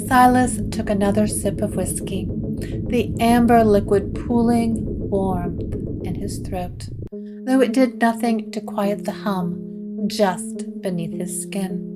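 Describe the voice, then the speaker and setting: Woman, gothic horror narrator, slow measured delivery, dramatic storytelling, loud music underneath. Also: medium pitch.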